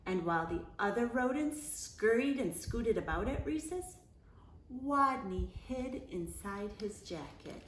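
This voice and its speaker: Slow, animated woman reading a children's story. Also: a little high, roomy sound, slight background noise, low-quality recording. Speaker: story time reader. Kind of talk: expressive children's picture-book read-aloud.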